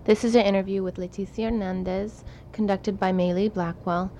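A woman conducting an oral history interview, asking short, quiet questions and prompts. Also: mid-range voice, steady tone, brisk. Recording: dull lo-fi 1992 tape with faint hiss.

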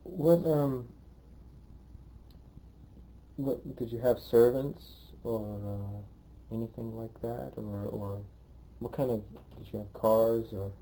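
A man running an oral history interview, asking questions in a halting way and often restarting them. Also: mid-range voice, warm tone, poor audio.

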